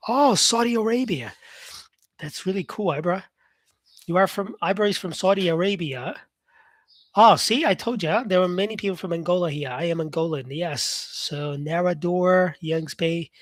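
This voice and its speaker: A fairly high-voiced man hosts a live English lesson and chats loosely with viewers. He reads out their comments and talks with plenty of fillers. His voice is close to the mic and sounds dry, with no room echo. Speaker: English teacher on a live stream. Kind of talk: casual live-stream teaching, talking to viewers.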